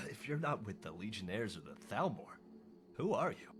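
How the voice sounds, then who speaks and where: A man voicing a wounded rebel fighter in a video game, weary, strained and halting, with a wry, loyal temper. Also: lowish voice, sing-song, music in the background. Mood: strained, wary. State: injured, in pain. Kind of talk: video game character dialogue.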